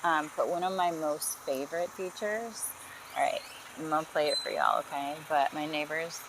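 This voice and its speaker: Chatty woman, low voice. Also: steady tone, moderate pace, background noise. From Texas. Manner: chatty, friendly, uses y'all. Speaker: host. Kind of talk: casual product demo vlog.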